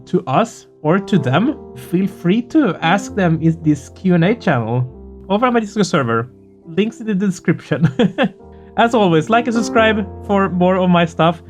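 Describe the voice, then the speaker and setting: A gaming video host chatting casually and effusively, earnest and prone to swearing. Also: mid-range voice. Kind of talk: casual excited chat after a game playthrough.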